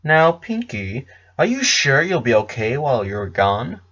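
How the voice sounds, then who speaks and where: A man plays a kindly female cartoon pony of motherly age. She fusses gently and frets. The recording is somewhat dull. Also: medium pitch, big pitch swings, measured pace. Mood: worried.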